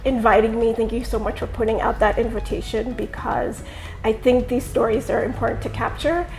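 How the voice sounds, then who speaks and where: A woman from Jamaica, raised on Long Island, New York, telling her life story as an interview guest in a thoughtful, measured way, with many "um"s and "you know"s. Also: a little high, fairly even intonation, gravelly, a little mellow, soft background music, rough recording. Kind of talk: conversational interview, personal story.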